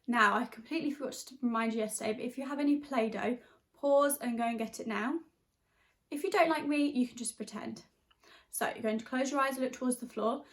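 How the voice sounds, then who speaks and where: A woman with an expressive, chatty and encouraging way of talking, leading and reading aloud for young children as their teacher. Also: on the high side, fairly quick. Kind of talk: animated storybook read-aloud and guided exercise.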